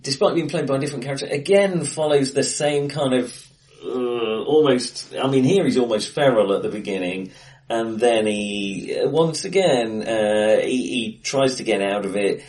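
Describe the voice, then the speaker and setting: A man co-hosting a casual film discussion, speaking in a hesitant, halting but enthusiastic way in a bright-sounding room. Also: mid-range voice. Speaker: podcast co-host. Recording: distant, bright echoey room.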